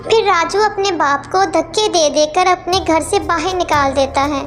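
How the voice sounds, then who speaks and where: A woman's voice: very high voice, moderate pace, fairly bright tone. A woman narrating a scripted children's cartoon story as the storyteller. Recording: echoey hall reverb, music underneath.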